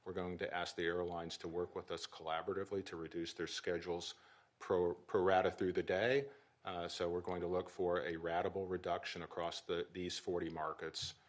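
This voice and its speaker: A middle-aged man speaking as an aviation official at a press briefing, measured and formal with frequent restarts. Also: sits low, level tone.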